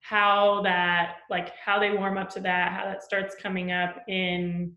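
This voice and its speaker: Flat-voiced woman host in an echoey room. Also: mid-range voice, unhurried. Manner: rambling, searching questions with ums. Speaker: podcast host, interviewer. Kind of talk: casual interview over video call. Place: room at home with plants. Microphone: webcam mic on a video call. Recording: echoey room over a webcam.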